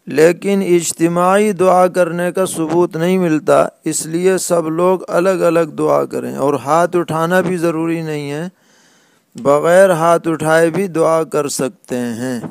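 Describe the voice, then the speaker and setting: A man who speaks as an Islamic scholar, answering religious questions in a measured, deliberate way with pauses mid-sentence. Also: a little high, steady tone, vocal fry, no echo. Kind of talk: religious question-and-answer lecture in plain rulings.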